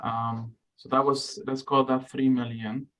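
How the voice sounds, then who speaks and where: A man's voice: medium pitch, level tone, a little slow, a bit bright. A man from Lebanon answering audience questions as a guest researcher, speaking frankly with frequent fillers and restarts.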